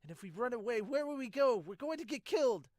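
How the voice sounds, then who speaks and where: A frightened, agitated manga kid, acted out by a man, speaking urgently in a raised, strained pitch. The dub is recorded dry and close. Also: animated, quick pace, a little mellow, no echo. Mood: panicked, distrustful. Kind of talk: amateur manga dub reading.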